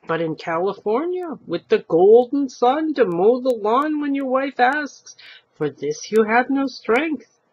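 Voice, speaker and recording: Woman putting on a nagging old wife, swooping pitch. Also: lively intonation, a little slow, a little mellow, husky, lo-fi sound. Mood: scornful, complaining. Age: older. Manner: scolding, dramatic complaining, inverted immigrant phrasing.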